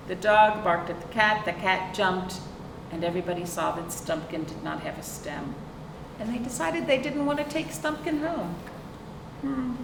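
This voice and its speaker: A woman reading a picture book aloud to young children, expressively, with chatty questions and asides to her listeners. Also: on the low side. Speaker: librarian hosting a children's storytime. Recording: roomy, distant microphone, clear background noise.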